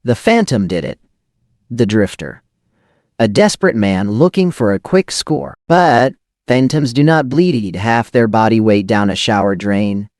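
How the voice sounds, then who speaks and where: A man playing a hardboiled noir narrator, highly animated. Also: unhurried, no room sound, studio quality. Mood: grim disbelief. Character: hardboiled, rattled forger of false evidence, cynical first-person narrator. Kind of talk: scripted noir thriller audio drama narration.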